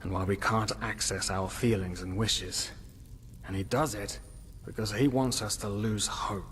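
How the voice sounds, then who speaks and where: A man acting a weary, despairing prisoner from a fantasy video game. He is half-mad and philosophical, dragging out halting thoughts at a very slow pace in a middle-pitched voice. Long hall reverb surrounds him, with faint noise underneath. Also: steady tone, close to an everyday voice. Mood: weary, resigned. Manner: brooding, halting, philosophical, with sighs and whispery trailing words.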